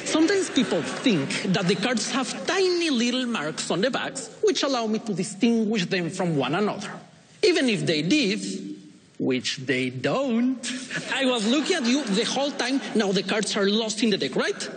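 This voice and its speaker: A man from Ecuador with a Latin American Spanish accent, speaking as a stage magician to volunteers, deliberate and polite with playful, pointed pauses. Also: high voice, sing-song, crowd noise. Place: TV show stage in a large hall. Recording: echoey stage hall with noise.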